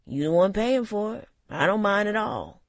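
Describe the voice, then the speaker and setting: A narrator voicing a gruff older male bartender with a raspy, growling voice and a folksy drawl. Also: mid-range voice, animated, normal speed, no echo, studio quality, voice pushed up, lightly acted. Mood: teasing. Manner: folksy drawl, loud and blustery.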